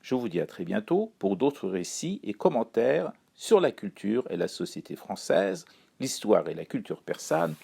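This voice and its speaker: Male columnist from Iran, dark gravelly voice, dry ironic read. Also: fairly low pitch, big pitch swings. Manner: wry, ironic, measured delivery. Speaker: chronicler. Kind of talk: read-aloud spoken column.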